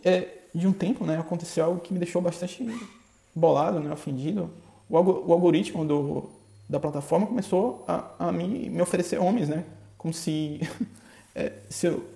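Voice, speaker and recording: A man from Bahia with a Bahian accent, hosting a podcast in a halting, casual monologue full of filler tags. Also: high-pitched, gravelly, mellow. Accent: Bahian Brazilian Portuguese. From Bahia, Brazil. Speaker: podcast host. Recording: echoey room.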